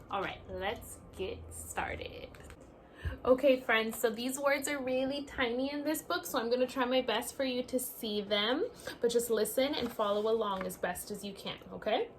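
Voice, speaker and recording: A woman teacher reading a picture book aloud to young children in an expressive, sing-song storybook manner, speaking to them directly. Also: on the high side, slight background noise.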